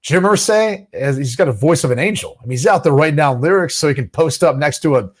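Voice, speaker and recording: A man's voice: a little high, lively intonation, fast, slightly rough. A man co-hosting a sports podcast, teasing and laughing in casual banter. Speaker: podcast co-host, former player.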